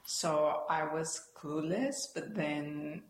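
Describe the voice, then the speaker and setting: Peruvian woman in her 40s, low voice, talks slow, lots of ums and restarts, echoey room. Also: a little hiss or hum. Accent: Peruvian Spanish. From Peru. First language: Spanish. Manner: thoughtful, with frequent fillers and restarts. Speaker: guest, Spanish professor. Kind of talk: conversational interview.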